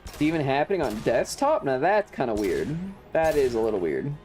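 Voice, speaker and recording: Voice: mid-range voice, very animated, brisk, darkish tone. A game streamer chatting casually and swearing freely over game music. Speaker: livestream host. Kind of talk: casual gaming stream chat.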